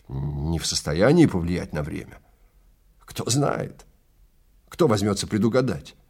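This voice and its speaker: A man's voice: on the low side, a little mellow, slightly rough. A man playing a stern, contemptuous male time-travel guide in an audio drama, lecturing and commanding with great emphasis. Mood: measured caution. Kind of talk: Russian audio drama acting.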